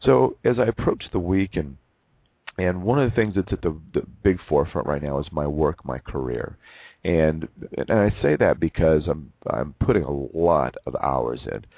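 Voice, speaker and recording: A man in his forties, hosting a reflective personal monologue, who talks haltingly with many restarts and repeated words. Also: rumbling low, no echo. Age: 40s. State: losing his voice from overwork. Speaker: podcast host. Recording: narrow phone-like sound.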